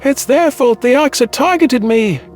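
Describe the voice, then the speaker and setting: A man's voice: very high voice, expressive, a little slow, a little mellow. A man voicing a distraught, bitter male alien survivor in an audio drama, speaking with agitation. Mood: hysterical, bitter. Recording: music bed under voice.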